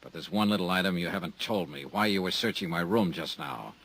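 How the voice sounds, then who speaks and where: An actor playing a sardonic, hard-boiled insurance investigator in a 1950s radio drama, clipped and wisecracking. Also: male voice, low voice, a little fast, a bit bright. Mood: probing. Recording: old radio broadcast recording.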